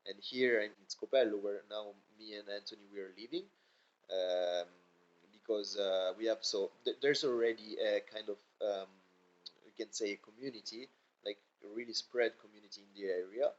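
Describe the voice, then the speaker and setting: Man, low voice, dull lo-fi podcast sound; a guest who searches for words, pauses often and restarts his sentences. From Italy. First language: Italian. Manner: halting, many fillers and restarts. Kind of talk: casual interview chat.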